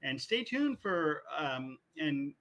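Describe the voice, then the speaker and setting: A man's voice: fairly high pitch, sing-song, slow, a bit bright. A man hosting a podcast in a casual, chatty style. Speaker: podcast host. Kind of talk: casual chat.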